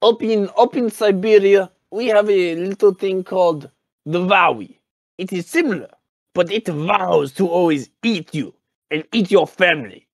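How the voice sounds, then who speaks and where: A man playing a pompous, nostalgic Soviet poet in improvised comedy, with a put-on Russian accent and a halting, mock-grandiose delivery that repeats words. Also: high-pitched, creaky, no echo. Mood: mock-grave.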